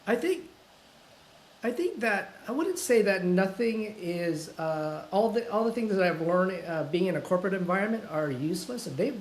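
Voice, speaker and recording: A man in his fifties with a voice pitched a little above most men's, talking in a relaxed interview. He speaks thoughtfully, often pausing on fillers and restarting sentences, with the careful manner of a self-described introvert. A faint hiss sits under his voice. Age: 50s. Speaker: guest, full-time content creator. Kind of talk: casual interview conversation.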